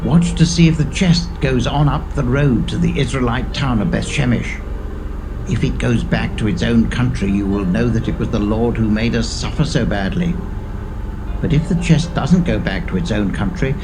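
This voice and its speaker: A man's voice: mid-range voice, animated, normal speed, music in the background, close to an everyday voice, rough recording. An actor playing a grave Philistine fortune teller who advises his people emphatically in a dramatised audio Bible. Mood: measured advice.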